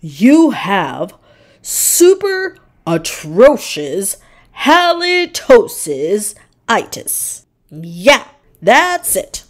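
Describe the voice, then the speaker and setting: A woman voicing an excitable, bossy but kindly male dolphin dentist in a children's storybook, exclaiming and drawing out words. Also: medium pitch. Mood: bossy, disgusted. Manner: emphatic, exclaiming, drawn-out words. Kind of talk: children's storybook character reading.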